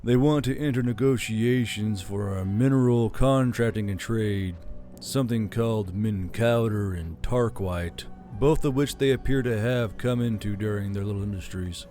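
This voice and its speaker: A gruff, weary military commander with a low, dry grumble, as a male narrator puts him on. He talks in a lowered, subdued voice that sounds tired of his duties. Soft music runs quietly behind him. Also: moderate pace, close to an everyday voice.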